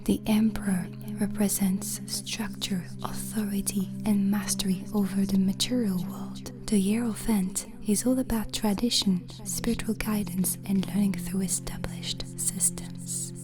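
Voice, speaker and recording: Woman as a slow, whispering witch over music. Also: medium pitch, level tone, close to an everyday voice. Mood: calm, instructive. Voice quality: breathy, unvoiced whisper. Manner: slow, deliberate, soothing. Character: gentle, hospitable witch hosting a guest overnight. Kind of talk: ASMR roleplay, soft whispering.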